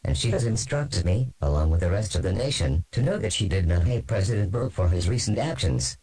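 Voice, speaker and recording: A man playing a solemn presidential representative, giving a grave, formal, measured address to the nation in a scripted broadcast drama. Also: sits low, dark tone, slightly rough, exaggerated voice, low-quality recording. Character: solemn male presidential representative announcing a tragedy. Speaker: government spokesman.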